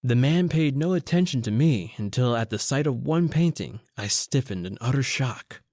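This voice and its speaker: A man's voice: medium pitch, expressive, moderate pace, darkish tone, dry recording, clean studio sound. Brooding radio-drama reporter, played by a man. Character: haunted, brooding male newspaper reporter narrating his tale. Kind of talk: old-time radio horror acting, dramatic narration.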